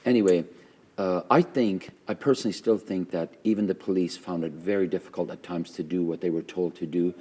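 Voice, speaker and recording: Deep-voiced fervent pastor, loud and emphatic. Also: male voice, steady tone, fairly quick. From Canada. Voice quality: loud voice by nature. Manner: fervent, emphatic, repeats phrases for stress. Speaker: pastor hosting a conversation. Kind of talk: earnest conversational interview.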